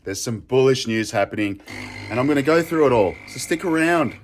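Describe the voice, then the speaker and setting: An Australian man hosting a casual investing vlog, chatty and excitable, tired and losing his voice. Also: medium pitch, brisk, slight background noise. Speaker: crypto YouTube host.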